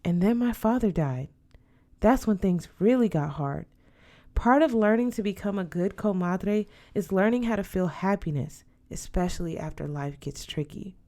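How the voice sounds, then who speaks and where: A woman's voice: mid-range voice, normal speed, mellow, close to an everyday voice. Woman narrator playing older aunt and godmother, fussy, affectionate, proud, emphatic advice-giving, storybook reading. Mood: gently advising.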